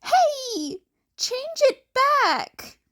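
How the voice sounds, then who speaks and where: Woman voicing a high, perky teenage boy. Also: very animated, drawn-out words, bright, forceful tone, heavily acted. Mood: mock indignant. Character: cheerful teenage boy, chirpy and whiny. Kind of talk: fan fiction character acting.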